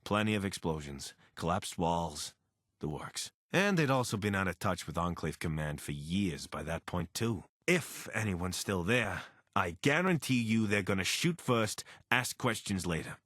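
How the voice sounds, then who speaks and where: An actor playing an anxious, wry deserter soldier and pilot in a video game, speaking in a conversational, earnest way. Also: male voice, sits low, expressive, measured pace, fairly bright tone, dry recording, clean studio sound, full character voice. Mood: grave. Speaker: voice actor for a companion character. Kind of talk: video game character dialogue.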